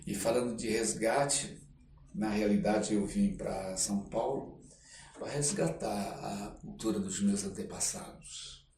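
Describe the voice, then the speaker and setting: A man's voice: on the low side, a little slow, a little mellow. Older Brazilian man in a roomy recording. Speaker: interview guest, artist. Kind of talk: conversational storytelling. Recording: roomy, distant mic, faint noise.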